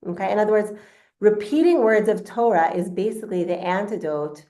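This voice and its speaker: A woman's voice: medium pitch, brisk, dark tone. A woman teaching an informal spiritual class in a conversational way, repeating phrases for emphasis. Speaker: religious teacher.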